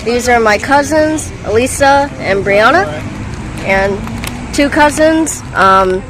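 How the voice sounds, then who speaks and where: A woman vlogger casually introducing her family and friends, speaking haltingly with fillers. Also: fairly high pitch, expressive. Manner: slow, hesitant listing with fillers. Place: outdoors on a city street. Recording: street traffic noise. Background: traffic and street ambience.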